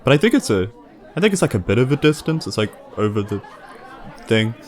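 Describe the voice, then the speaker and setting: A man's voice: medium pitch, sing-song, brisk, a faint crowd, voice pushed down, natural voice. A man playing a shy, unambitious wanderer in a tabletop game, rambling hesitantly and vaguely. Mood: sheepish. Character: shy, vague, unambitious wanderer who wants a quiet life.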